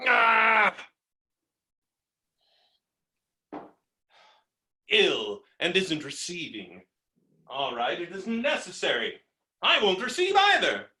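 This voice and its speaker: A man's voice: a little high, very animated, takes it slowly, bright, forceful tone, heavily acted. A man playing a blustering, hot-tempered creditor in a theatrical stage comedy reading, ranting with exasperated, melodramatic exclamations. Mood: defiant. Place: indoor room, recorded remotely. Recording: roomy, echoing virtual staged-reading recording.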